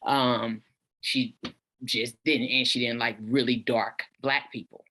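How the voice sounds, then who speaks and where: A woman's voice: very deep voice, steady tone, slow pace, sharp tone, breathy edge. A woman actor in a casual discussion on a video call, informal and searching as she thinks aloud. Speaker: actor at a table read. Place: indoors on a virtual meeting.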